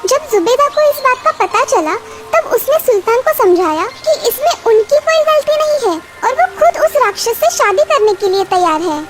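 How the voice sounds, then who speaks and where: A woman's voice: very high voice, very animated, normal speed, music in the background. A woman narrating a children's fairy tale in a scripted storytelling style.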